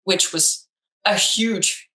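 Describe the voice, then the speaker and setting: A woman's voice: medium pitch, extremely slow, pushed and bright. A woman whose first language is Danish, a psychologist in casual conversation, chatty with many fillers and restarts. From Denmark. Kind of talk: casual chat.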